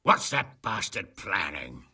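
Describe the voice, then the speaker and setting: An actor playing a brash, hot-tempered middle-aged medieval lord in a game, blunt and blustering, with a gravelly, raspy voice. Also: male voice, low voice, sing-song, takes it slowly, sharp tone, far from the mic, full character voice. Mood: furious. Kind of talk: video game cutscene acting.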